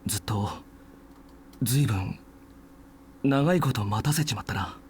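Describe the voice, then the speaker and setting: An actor playing a wistful, apologetic man with a husky voice in a Japanese visual novel, as if meeting a long-lost love. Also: on the low side, quick pace, darkish tone. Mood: regretful, tender.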